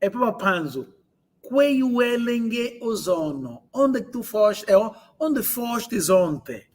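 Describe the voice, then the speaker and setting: Male online language tutor, high register, translates and repeats phrases for students. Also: animated. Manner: repeats phrases slowly for learners. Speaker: language teacher.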